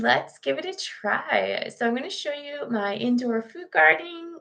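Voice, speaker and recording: A hoarse woman, chatting casually as host of a live garden tour from her computer room, greeting viewers by name. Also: on the high side, sharp tone. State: hoarse from recent illness.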